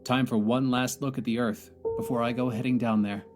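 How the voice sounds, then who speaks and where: Prominent music surrounds a stranded astronaut commander acted by a man in an audio drama. He speaks in a mid-pitched voice, solemn and measured, pausing as he reflects. He sounds resigned to danger yet holds on to hope. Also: little pitch movement, close to an everyday voice. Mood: wistful, resigned. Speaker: narrator and lead of an audio drama. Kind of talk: dramatic first-person monologue.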